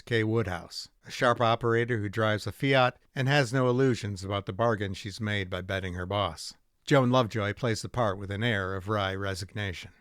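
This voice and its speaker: A man hosting a radio drama rebroadcast series, giving a measured, polished scripted introduction. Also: fairly low pitch, a bit bright, smooth, no echo, studio quality. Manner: measured, polished presenter delivery. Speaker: host. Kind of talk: scripted narration.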